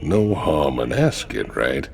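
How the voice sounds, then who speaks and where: Very deep, gravelly outlaw. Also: male voice, big pitch swings, takes it slowly, a little mellow, faint music behind, heavily acted. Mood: teasing. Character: crude, teasing, rough outlaw buddy. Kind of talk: video game cutscene dialogue.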